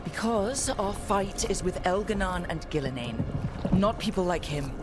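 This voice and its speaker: A woman's voice: on the low side, measured pace, music in the background, close to an everyday voice. A woman playing a firm, pragmatic leader of heroes in a fantasy game, curt and stern.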